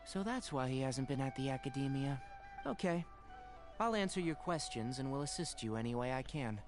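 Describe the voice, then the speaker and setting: A man playing a perceptive young forest ranger with a measured, straightforward way of talking in an anime video game. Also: medium pitch, expressive, natural voice. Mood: frank. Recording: game music behind.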